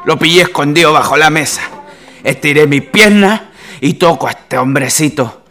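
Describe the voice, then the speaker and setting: A man's voice: on the high side, moderate pace, bright, forceful tone. A man playing an aggrieved, jealous husband with a Chilean accent, indignant and declamatory in a radio drama. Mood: indignant accusing.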